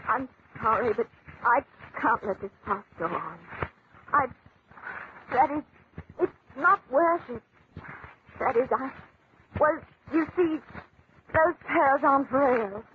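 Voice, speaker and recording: A young woman of the theatre in an old radio play. She is apologetic and softly hesitant, yet keeps a quiet dignity. The recording is thin and slightly noisy. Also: sits high, animated, slow, long pauses, phone-quality sound. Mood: reluctant, flustered. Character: young stage actress, modest, apologetic yet quietly plucky. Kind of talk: 1940s radio acting.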